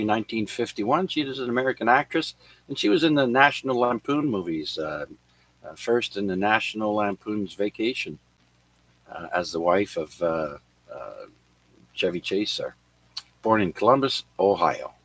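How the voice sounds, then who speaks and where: Man host on a ham radio net, lowish voice with lively inflection, halting read with fillers, dry sound. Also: husky, no room sound. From Canada. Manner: halting reading with many "uh" fillers. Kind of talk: reading birthday and history notes on a ham radio net. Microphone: radio transceiver microphone.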